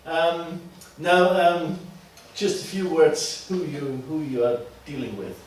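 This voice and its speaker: A man with an Austrian accent and German as his first language, leading an informal workshop as a teacher trainer in a halting, deliberate way with frequent tag questions. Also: on the high side, animated. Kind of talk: informal workshop talk to a small group. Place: classroom. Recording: distant mic, echoey room, faint noise.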